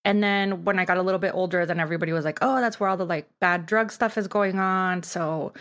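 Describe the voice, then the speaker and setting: A woman's voice: on the low side, fairly even intonation, fast, fairly bright tone. A middle-aged woman from Tijuana, Mexico, telling her story informally as an interview guest, peppering her speech with "like". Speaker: guest, artist and designer. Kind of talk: casual personal storytelling interview.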